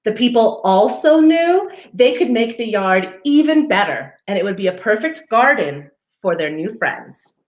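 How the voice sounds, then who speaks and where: A woman reads a picture book to children at an unhurried pace. Her mid-pitched voice rises and falls expressively, and she slips into chatty asides to her young listeners. The sound is roomy and echoing. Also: sing-song, muffled recording. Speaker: storybook reader for a parks program.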